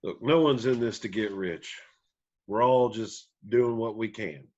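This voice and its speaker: A man's voice: fairly low pitch, a little slow, a little mellow, dull audio. A man reading an easygoing, teasing country band singer with folksy phrasing in a screenplay table read. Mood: wry.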